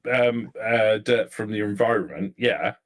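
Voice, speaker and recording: Male game master, low register, improvised narration for live tabletop vampire role-play. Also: a little slow.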